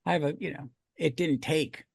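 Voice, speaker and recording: A man's voice: a little high, fairly even intonation, normal speed, gravelly, slightly rough, dry recording, clean studio sound. A man hosting a podcast in casual review chat, talking in a rambling, enthusiastic way. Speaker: podcast host.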